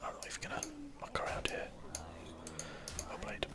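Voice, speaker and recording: Man, breathy whisper, very sharp and bright, ASMR gaming commentary, casual, rambling. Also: moderate pace. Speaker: video game streamer.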